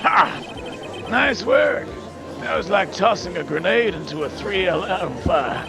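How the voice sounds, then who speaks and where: Man doing a gravelly, high-pitched cocky mentor lawyer. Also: animated, slow pace, music in the background, exaggerated voice. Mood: wry. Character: cocky older male mentor lawyer, wry and teasing. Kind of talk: live dub of courtroom video game.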